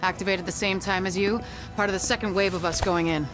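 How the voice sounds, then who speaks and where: A woman's voice: medium pitch, fairly even intonation, quick pace, over music, natural voice. A woman playing a determined young government field agent in a video game, earnest and businesslike. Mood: resolute, businesslike. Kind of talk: video game cutscene acting.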